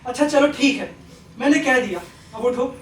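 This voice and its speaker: An actor playing a kindly, forgiving middle-aged father in a Hindi stage play, speaking in a measured, gentle, reassuring way. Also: very high-pitched, lively intonation. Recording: roomy distant stage recording, faint noise.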